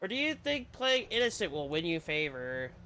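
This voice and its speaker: A man's voice: on the high side, sing-song, normal speed, bright, forceful tone, a little hiss or hum, heavily acted. A man voicing a scornful arena onlooker in a video game, mocking and full of disdain. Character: scornful arena onlooker sneering at a village girl.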